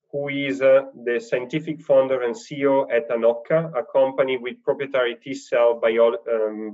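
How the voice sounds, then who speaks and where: A man's voice: medium pitch, darkish tone. Guy chairing a panel, formal intros, muffled audio. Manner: formal introductions with occasional fillers. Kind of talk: moderating a panel. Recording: dull lo-fi sound.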